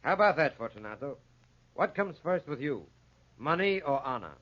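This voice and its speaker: An actor in a 1940s radio drama playing a dry, knowing country sheriff with a measured, pointed manner. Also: male voice, a little high, very animated. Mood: dryly genial. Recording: muffled 1940s radio recording.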